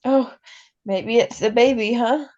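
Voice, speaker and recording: A woman playing an ailing, gentle, wise mother in a radio drama, speaking tenderly and haltingly. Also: fairly high pitch, highs cut off, lightly acted. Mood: tender concern. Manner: tender, halting, philosophical, tags sentences with huh. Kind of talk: classic radio drama acting.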